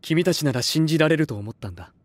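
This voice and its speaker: An actor playing an earnest, gentle music label head in an anime voice drama, speaking sincerely and encouragingly. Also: male voice, a little high, expressive, fast talker. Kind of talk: Japanese anime voice drama acting.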